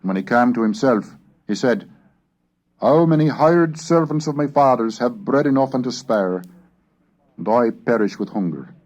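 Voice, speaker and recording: A man reading a scripted educational narration in a formal, measured, oratorical manner. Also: mid-range voice, animated, darkish tone. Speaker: lecturer and reader. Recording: dull lo-fi cassette recording.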